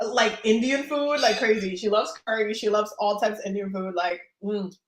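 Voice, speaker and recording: A woman's voice: mid-range voice, normal speed, reverberant, poor audio. A woman hosting a casual tarot live stream who chats and laughs while she eats. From Dominican. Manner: chatty and laughing, talks while eating.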